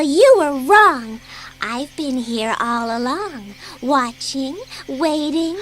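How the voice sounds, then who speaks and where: A woman's voice: high voice, fairly bright tone, slight background noise, full character voice. A woman playing a menacing, gleeful imaginary-friend girl in a dramatised audiobook, sly and taunting. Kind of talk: dramatised audiobook villain acting. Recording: music behind the voice.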